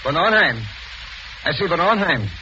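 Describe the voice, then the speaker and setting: An actor playing an anxious, irritable but stiffly polite husband in 1940s radio mystery style. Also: male voice, a little high, sing-song, normal speed. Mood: agitated. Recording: muffled, noisy 1940s radio recording.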